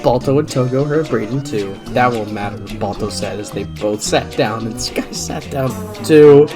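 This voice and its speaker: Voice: medium pitch, moderate pace. A person giving a dramatic reading of fan fiction, stumbling and prone to breaking into laughter. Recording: music under the reading. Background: music.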